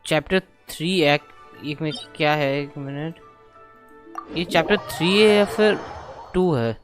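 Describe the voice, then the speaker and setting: A man's voice: on the high side, racing. A man with an Indian accent, a native Hindi speaker, giving casual let's-play commentary. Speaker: gaming streamer. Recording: game music loud behind voice. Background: video game music.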